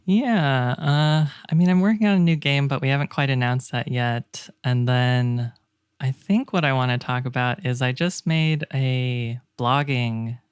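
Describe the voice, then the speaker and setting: A man talks casually as a podcast guest, with a clear, smooth voice at an ordinary pitch. He sounds reflective and tentative as he works through his thoughts, recorded close and dry. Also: unhurried, no room sound. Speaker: game developer.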